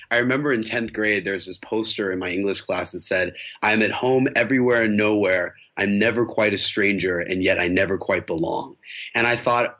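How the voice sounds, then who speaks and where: Low-voiced young man, reflective guest. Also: fairly bright tone. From United States. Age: 20s. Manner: reflective, many fillers and restarts. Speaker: podcast guest. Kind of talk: casual interview talk.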